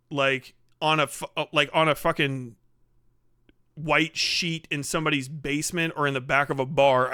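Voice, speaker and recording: A man giving profane, casual livestream commentary, rambling and thinking out loud as he goes. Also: fairly high pitch, smooth, no room sound, studio quality. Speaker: live streamer reacting to videos.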